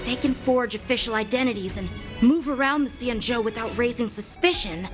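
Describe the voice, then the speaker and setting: An actress playing an alert, earnest young heroine in a video game. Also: female voice, a little high, a little fast, lightly acted. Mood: worried, puzzled. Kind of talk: dramatic video game acting. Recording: music behind the voice.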